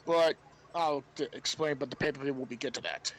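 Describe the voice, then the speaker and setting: A man co-hosting a casual wrestling podcast, speaking haltingly and often repeating words as he explains. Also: mid-range voice. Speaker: podcast co-host. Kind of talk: casual wrestling review chat.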